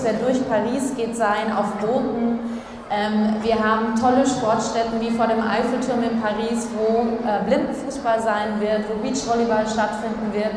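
A woman in her thirties telling stories with enthusiasm as a guest on stage, into a handheld mic in a sports hall. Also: a little high. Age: 30s. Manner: lively, enthusiastic storytelling. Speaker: athlete guest. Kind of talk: stage interview. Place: large sports hall, indoors. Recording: distant echoey hall with crowd noise.

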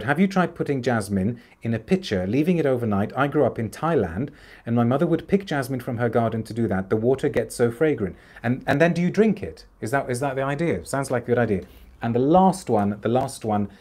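A man, hosting an unscripted perfume review live stream from a small room at home, expressive and enthusiastic and reading out viewer comments. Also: medium pitch. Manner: enthusiastic, expressive, gestures a lot, frequent restarts. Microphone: camera at desk distance, seated.

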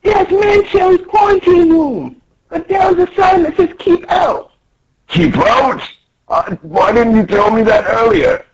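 A man voicing an excitable, scolding little cartoon adventurer who exclaims in a whiny way. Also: very high-pitched, a little slow, highs cut off, noisy background, full character voice. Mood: alarmed, scolding.

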